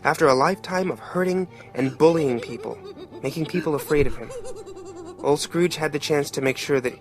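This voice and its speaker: Man as higher-pitched streetwise everyman storyteller. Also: a little fast, over music, lightly acted. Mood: earnest. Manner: colloquial, earnest, streetwise. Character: plain-spoken working-class father telling a Christmas tale. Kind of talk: dramatic storytelling narration.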